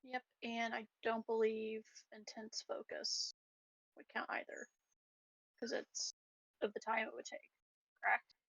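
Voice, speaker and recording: Slightly high-voiced woman playing a tabletop game. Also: completely flat delivery, measured pace, creaky, pauses often, a bit bright, husky, no echo, muffled recording. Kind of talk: casual table talk.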